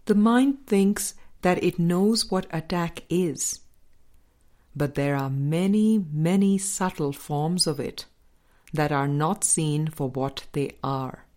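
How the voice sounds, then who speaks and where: A woman reading a spiritual book aloud as a scripted audiobook, in a measured, deliberate manner with pauses between phrases. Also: deep voice, darkish tone. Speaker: audiobook reader.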